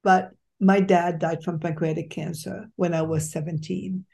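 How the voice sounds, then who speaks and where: A woman's voice: fairly low pitch, flat delivery, normal speed, gravelly, darkish tone. A middle-aged woman from Egypt, a doctor hosting a podcast, telling her life story in an emphatic, enthusiastic way with fillers and restarts. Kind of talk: conversational storytelling interview.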